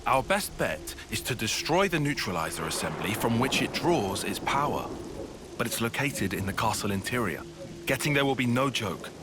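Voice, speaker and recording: A man's voice: mid-range voice, very animated, moderate pace, background noise, natural voice. A man voicing a composed, analytical young tactician in a game, with precise, formal explanations. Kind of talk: video game cutscene acting.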